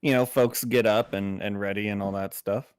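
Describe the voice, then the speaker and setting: A man playing a tabletop game, chatting casually in character in a measured, agreeable and polite way. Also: fairly low pitch, steady tone, clean tone, no room sound. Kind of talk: casual in-game roleplay chat.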